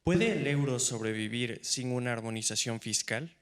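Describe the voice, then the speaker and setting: A man's voice: mid-range voice, moderate pace. A young man from Ecuador with an Ecuadorian Spanish accent, a student introducing himself and putting a question from the audience. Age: young adult. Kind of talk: prepared question after brief self-introduction. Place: event auditorium.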